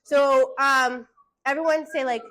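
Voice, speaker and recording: A woman voice teacher giving classroom singing instruction, hushing her students and checking in with "okay?" after nearly every point. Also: high-pitched, fairly even intonation, measured pace, low-quality recording.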